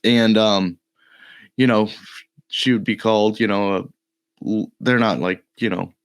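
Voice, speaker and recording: Low, slightly gravelly male podcast guest. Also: steady tone, unhurried, dry recording. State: a little under the weather. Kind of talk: casual interview chat.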